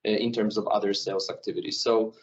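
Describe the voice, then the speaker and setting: A man's voice: lowish voice, level tone, quick pace, recorded from a distance, dull audio. A man hosting an event, introducing the speaker in a casual way that leans on filler phrases. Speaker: event organizer.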